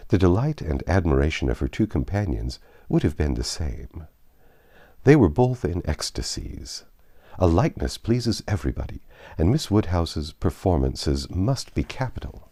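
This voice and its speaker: A male audiobook narrator reading a classic novel in a low, deep voice. His delivery sweeps widely up and down in pitch. The tone is mellow and dark. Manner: expressive storytelling with sweeping intonation.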